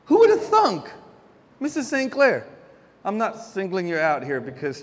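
A man preaching, his voice sitting notably high. He talks to the congregation in a loose, chatty way, full of everyday stories and stumbling restarts. The sound carries a long reverb, as in a large church hall. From Philippines. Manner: conversational preaching with homely stories and frequent restarts. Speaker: pastor. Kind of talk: sermon.

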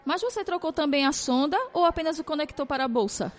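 Woman acting strict female nursing instructor, very high-pitched voice, supervising interns, audio drama. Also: quick pace, lightly acted. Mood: stern.

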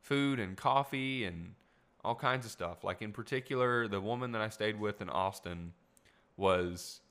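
A man's voice: on the low side, measured pace, fairly bright tone, clear, resonant tone. A young man hosting a solo podcast, rambling with restarts and asides, his voice getting tired. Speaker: podcast host; music teacher. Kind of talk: casual solo podcast talk.

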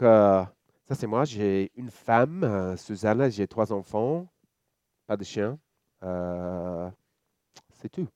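Slow, mellow Australian man preaching. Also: medium pitch, no echo. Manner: relaxed, joking, halting with many fillers and restarts. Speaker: church elder preaching. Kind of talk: sermon.

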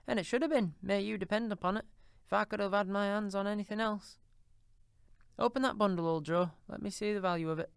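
Cackling old charwoman, put on by a man, shrill high voice, brazen, dry close sound. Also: fairly quick, vocal fry, clear, resonant tone, no echo. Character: coarse scheming old charwoman, brazen and mocking. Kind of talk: audiobook character reading.

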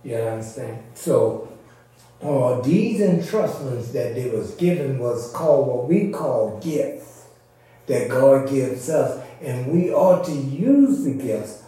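A man's voice: medium pitch, lively intonation, slow, warm tone. Echoey, distant male preacher teaching. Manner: teacherly, checks listeners follow with short questions. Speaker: preacher leading a church service. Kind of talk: informal expository sermon. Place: reverberant indoor church service. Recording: echoey room, distant mic.

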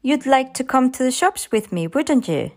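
An anxious young mother with fraying nerves acted by a woman narrator in a storybook. She sounds tense and emotional, her pitch lifted and very changeable. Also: sing-song, normal speed, darkish tone, exaggerated voice. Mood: firm.

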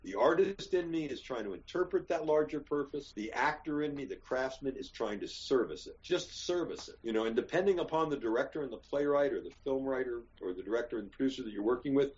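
An older American man rambles emphatically through his thoughts and swears without a pause. His voice sits at an ordinary middle pitch. It comes through as a dull, lo-fi video call. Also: animated, darkish tone. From United States. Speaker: actor and guest. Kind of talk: candid interview chat.

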